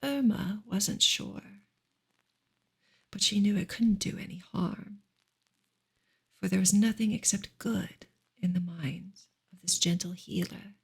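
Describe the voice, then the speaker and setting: A woman reading a novel aloud in a soft, hushed, breathy ASMR style, often slipping into a whisper. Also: mid-range voice, slow pace, long pauses. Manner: hushed, gentle delivery.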